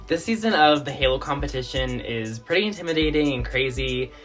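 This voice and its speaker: A man in his twenties from Denver, a contestant and makeup artist speaking in an earnest, measured confessional. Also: mid-range voice, expressive, fairly bright tone, soft background music. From Denver, Colorado. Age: 20s. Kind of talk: confessional interview.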